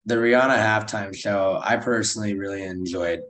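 A deep-voiced American man co-hosts a review podcast in an upbeat, chatty way. His voice is a little hoarse, and the room around him adds a clear echo. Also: fairly quick, fairly bright tone. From United States. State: slightly hoarse. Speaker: co-host of a film and TV review show. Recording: roomy echo.

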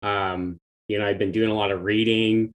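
A man in his forties, born in Thailand and raised in the US, telling his story as an interview guest in an easygoing, unhurried way from his home over a remote call. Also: fairly low pitch, little pitch movement. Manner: easygoing, unhurried, chuckles lightly. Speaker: guest, long-distance hiker. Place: at home, apartment or house.

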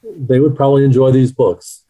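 A man's voice: mid-range voice, steady tone, moderate pace, dark tone. A middle-aged man speaking as an author being interviewed, answering in a conversational way.

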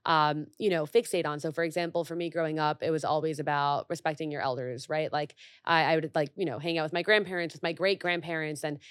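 A woman's voice: deep voice, fairly even intonation, fast talker, hardly any pauses, no room sound, studio quality. A young woman hosting an interview podcast, chatting casually with lots of fillers. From grew up in Mexico and the U.S. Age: young adult. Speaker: podcast host.

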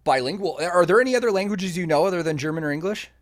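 A man's voice: sits high, expressive, rapid-fire. A man in his thirties hosting a podcast, talking casually with lots of fillers and restarts. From United States. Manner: chatty, rambling, many fillers and restarts. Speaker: podcast host. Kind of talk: casual conversational interview.

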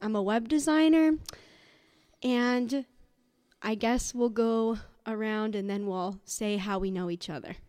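A woman's voice: a little high, a little slow, darkish tone, clear, resonant tone, no room sound. Giggly young woman co-hosting, close and dry. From upstate New York. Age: 20s. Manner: playful, giggly banter. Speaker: podcast co-host. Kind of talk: casual chat.

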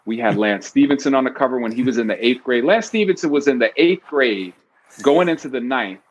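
A man in his fifties, a guest talking casually in an interview, animated and playful as he tells stories. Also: a little fast, husky, low-quality recording. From New York City. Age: 50s. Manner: animated storyteller, bursts of pitch when excited. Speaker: guest author.

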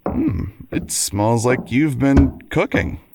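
An actor playing a devoted, argumentative lawyer husband in an audio drama, with wry courtroom-style banter. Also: male voice, mid-range voice, sing-song, slow, noisy background. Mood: playful.

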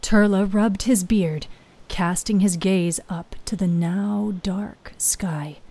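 Slow, mellow woman storyteller. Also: medium pitch. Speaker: author and narrator. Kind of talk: scripted dramatic fiction narration.

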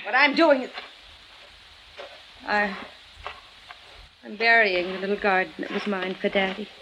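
A woman's voice: mid-range voice, measured pace, noisy background, lightly acted. A woman playing a desperate, scheming murderess in theatrical 1950s radio drama style, pleading. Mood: grieving, guarded. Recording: muffled old radio recording with music.

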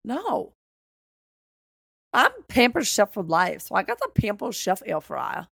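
A woman's voice: mid-range voice, huge pitch swings, unhurried, gravelly, fairly bright tone, breathy edge, no echo, studio quality. An American woman co-hosting a podcast in casual chat, with a blunt, teasing, slangy way of talking. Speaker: podcast co-host.

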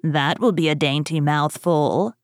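A wicked old storybook witch, acted out by a woman reader speaks in a low, measured voice. She scolds and commands with sly mockery. The sound is close and dry. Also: expressive, no echo. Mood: gloating. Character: wicked old witch, feigning kindness, mocking and impatient.

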